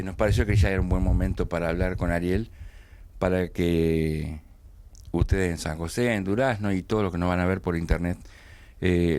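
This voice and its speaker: A man's voice: low-pitched, mellow. A man interviewing a guest in a small studio, hesitant and full of fillers and restarts. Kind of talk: conversational TV interview.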